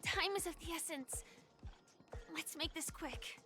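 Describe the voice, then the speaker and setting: A woman's voice: extremely high pitch, unhurried. An actress voicing a perky, eager young girl adventurer in a video game, casual in manner. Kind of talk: video game character acting. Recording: music behind voice.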